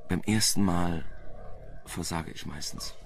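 A man's voice: low voice, unhurried, vocal fry, slight background noise. An actor playing a cheeky, flirtatious male reporter in a wry, teasing manner in a radio crime drama.

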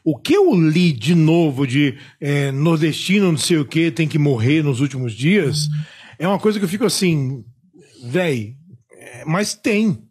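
A man from Salvador, chatting on a podcast about films and ranting in a lively, slangy, profane way. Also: on the high side, measured pace, breathy edge. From Salvador, Bahia, Brazil. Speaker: film critic, podcast panelist. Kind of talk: casual podcast chat.

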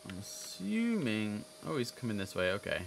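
A man in his thirties commentates a flight control game live with very animated pitch. He chats casually and slips into formal controller instructions. Some noise sits behind his voice. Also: normal speed, gravelly. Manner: chatty, mixing casual asides with formal radio calls. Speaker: streamer playing an air traffic controller. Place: indoor room, live stream.

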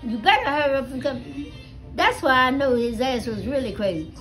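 An elderly American woman telling stories casually to family, with a salty, animated manner, recorded on a phone at home. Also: sits high, a little fast, soft background music. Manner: animated, salty, acts out dialogue with swearing. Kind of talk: casual storytelling among family. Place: small living room at home. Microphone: phone held near her.

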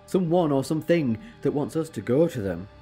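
An eccentric time traveller acted by a woman in a sci-fi audio drama. Her voice is low and swings widely as she gets exasperated and teasing, with music behind her. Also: expressive, brisk, dark tone. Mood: brisk, puzzled. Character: brisk, eccentric, exasperated time-travelling alien adventurer, female.

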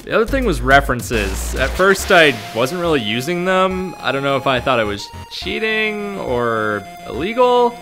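A man's voice: medium pitch, big pitch swings, normal speed, hardly any pauses, fairly bright tone, faint music behind. A young man narrating a scripted vlog in a self-deprecating way. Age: young adult. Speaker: host, amateur game maker.

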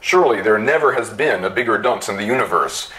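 A man voicing a pedantic, reasonable inventor in a story, explaining things in a measured, wry way. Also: on the low side, lively intonation, pushed and bright, low-quality recording. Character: pedantic, reasonable male constructor friend, self-declared expert.

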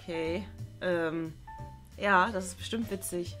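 A woman's voice: lowish voice, fairly even intonation, slow, music in the background. A young woman playing an awkward, non-committal teenage daughter in an improvised family sketch, speaking in short, hesitant replies. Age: young adult. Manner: hesitant, terse. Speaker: actor in a family web series. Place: bright room indoors.

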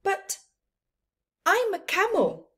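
A woman plays a little storybook camel boy, puzzled and pleading. The voice is high and plaintive, swooping up as it questions. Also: wildly swooping pitch, extremely slow, fairly bright tone, exaggerated voice.

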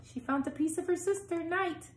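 A woman's voice: very high voice, moderate pace. A young woman reading a picture book aloud to children, adding simple explanations and questions for her young listeners. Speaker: storytime reader for children. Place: small plain-walled room indoors. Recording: roomy, echoing home recording.

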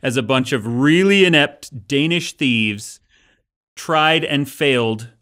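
A man's voice: medium pitch, expressive, slow pace, sharp tone. A man in his forties co-hosting a podcast, asking wry, skeptical questions in casual chat. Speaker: podcast co-host. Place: small studio set indoors.

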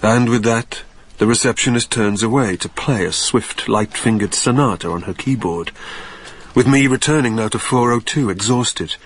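A man's voice: medium pitch, normal speed, husky, close to an everyday voice. An actor playing a weary, anxious hotel guest who narrates his own ordeal in wry, literary phrases for a radio drama. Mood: wry weariness. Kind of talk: dramatic first-person narration.

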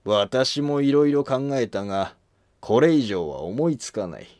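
A man's voice: medium pitch, lively intonation, measured pace, a little mellow, dry recording, clean studio sound, lightly acted. A man playing a stern, dutiful senior court historian in a scripted historical drama. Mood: measured, pondering.

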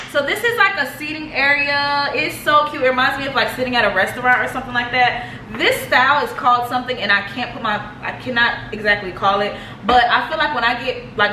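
High-voiced young woman vlogging in echoey rooms. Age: young adult. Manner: animated, slangy, gushing. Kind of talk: casual house tour vlog chat. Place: indoors, rooms of a house. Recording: echoey rooms with clear background noise.

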